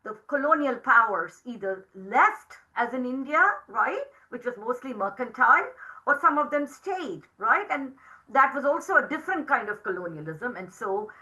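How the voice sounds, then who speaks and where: A middle-aged woman whose first language is Tamil, giving an academic lecture to teachers in a measured, explanatory way, often adding tag questions. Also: a little high, expressive, bright, forceful tone, low-quality recording.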